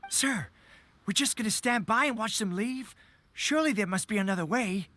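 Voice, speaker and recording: A man's voice: sits high, normal speed, pushed and bright. An actor playing a loyal, eager resistance soldier in a video game who addresses his superiors respectfully.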